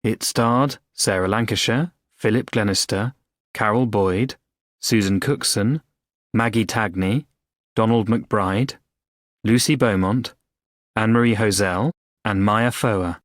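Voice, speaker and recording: A man's voice: lowish voice, slow, dry recording, clean studio sound. A man reading scripted drama credits as a radio announcer.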